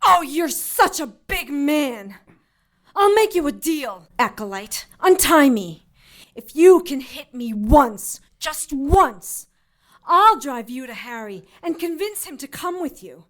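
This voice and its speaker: A woman playing a defiant, sharp-tongued captive witch in an audio drama, speaking with sarcasm. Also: high-pitched, sing-song, slow, exaggerated voice. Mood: sarcastic defiance.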